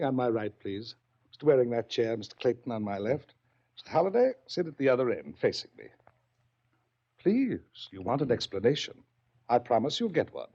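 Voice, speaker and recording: An actor in a 1940s radio drama playing a charming, cultured, middle-aged big man who hosts captives with deliberate, menacing politeness. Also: medium pitch, warm tone. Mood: smug control. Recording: dull old radio recording.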